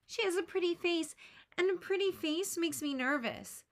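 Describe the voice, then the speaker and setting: A bashful, anxious boy speaks in a high, light voice, as a woman reads him aloud. He sounds nervous and wistful, gently put on for a picture book. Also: normal speed. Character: anxious shy boy, hesitant and gloomy.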